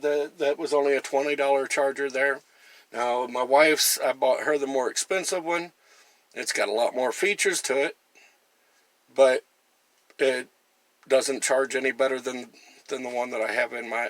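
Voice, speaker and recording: A man's voice: mid-range voice, normal speed, a bit bright, no room sound. A man talking casually through a product demonstration in a plain-spoken, folksy and chatty way. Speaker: gear reviewer and camper.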